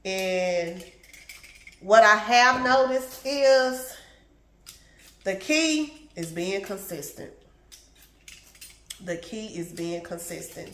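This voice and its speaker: A middle-aged woman talks to her viewers at an unhurried pace while prepping food at home, calling them y'all and baby. Her voice sounds congested. The kitchen gives her voice a noticeable echo. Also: medium pitch. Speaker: lifestyle vlogger. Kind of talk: casual chat while cooking.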